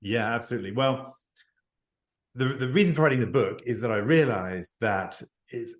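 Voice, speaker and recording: A man's voice: mid-range voice, normal speed. Earnest man on an echoey video call. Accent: non-native English speaker living in France. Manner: earnest, reflective, restarts phrases. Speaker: guest, CEO advisor and author. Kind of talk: conversational interview. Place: home office near Paris.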